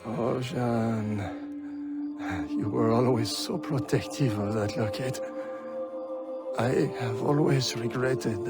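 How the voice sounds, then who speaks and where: A man's voice: mid-range voice, steady tone, takes it slowly, long pauses. An actor playing a frail, affectionate, sick old father, weak and ailing, in a game cutscene. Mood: weary, affectionate. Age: older. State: ill, coughing, weak. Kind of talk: dramatic video game cutscene acting. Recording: background music.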